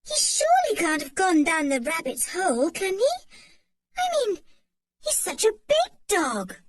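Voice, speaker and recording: A woman reading a storybook aloud, voicing a bold young tomboy girl who shouts at her dog and frets when he goes missing. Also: wildly swooping pitch, measured pace, full character voice. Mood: worried. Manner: high and emphatic, close to shouting.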